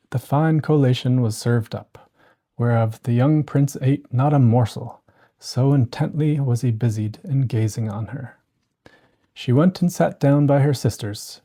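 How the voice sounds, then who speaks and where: A gentle storyteller reading a bedtime fairy tale. The tone is dark and relaxed, with soft edges suited to winding down. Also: medium pitch.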